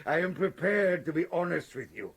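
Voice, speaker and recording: A high-pitched, gravelly, jovial crime lord. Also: male voice, animated, moderate pace. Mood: smoothly menacing. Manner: florid, courteous, chuckling menace. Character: jovial, verbose male professor turned crime lord, large-bodied. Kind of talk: period radio drama acting.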